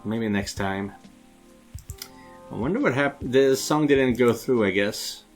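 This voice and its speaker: A man's voice: medium pitch, a little slow, soft background music. A man giving casual let's play commentary, hesitant and thinking aloud as he reads game text. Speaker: video game let's player and dubber.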